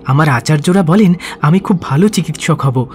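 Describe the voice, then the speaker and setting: A man's voice: on the high side, lively intonation, normal speed, darkish tone, soft background music, natural voice. A man playing an earnest, grieving young medical student and devoted son in a radio drama, emotional in delivery. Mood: eager, anxious. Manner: emotional, earnest, swinging to terse.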